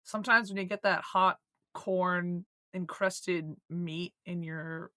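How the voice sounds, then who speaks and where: A hoarse, croaky woman co-hosting a casual podcast, swearing and joking loosely. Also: lowish voice, fairly even intonation, takes it slowly, bright, forceful tone, no room sound, clean studio sound. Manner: swearing, joking, laughing often. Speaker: podcast co-host.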